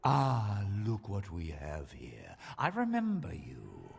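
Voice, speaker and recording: Slow, gravelly sneering villain mage. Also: male voice, on the low side, huge pitch swings, big-room sound, heavily acted. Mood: mocking contempt. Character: sneering, arrogant male mage villain, condescending and menacing. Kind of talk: video game voice acting.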